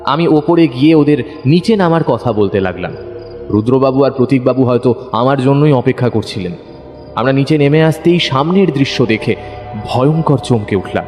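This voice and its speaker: A man's voice: medium pitch, normal speed, faint music behind. Suspenseful male story narrator, long reverb. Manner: suspenseful, expressive. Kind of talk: dramatic scripted first-person detective story narration.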